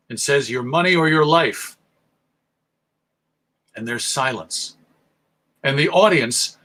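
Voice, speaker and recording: An American man presents a history talk in a bright, forceful voice pitched a little high. He tells stories deliberately, landing key points with emphasis and dramatic pauses. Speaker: presenter, radio show host.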